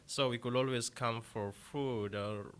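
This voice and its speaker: A young man from Kenya with a Kenyan accent, a deacon answering interview questions in a halting way with many fillers and restarts. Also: mid-range voice, steady tone, fairly bright tone, clear, resonant tone.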